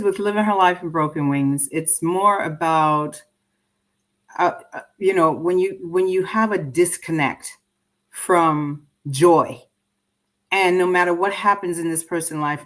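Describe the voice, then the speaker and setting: Reflective woman in conversation. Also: lowish voice. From Trinidad and Tobago. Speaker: interview guest, poet and filmmaker. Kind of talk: conversational interview answers.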